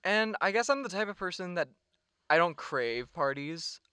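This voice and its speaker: Bright-voiced young man chatting on a podcast. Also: high voice, big pitch swings, moderate pace, clean tone, dry recording, clean studio sound. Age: young adult. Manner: chatty, laughs often, swears casually. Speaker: podcast co-host. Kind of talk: casual chat. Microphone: sits some way back from the mic.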